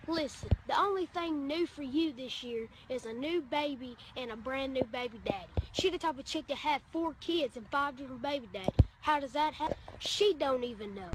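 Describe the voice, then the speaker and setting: A woman's voice: high voice, brisk, hardly any pauses. A woman delivering a sassy, mocking comedic rant in a social media style. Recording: noisy social media clip playback.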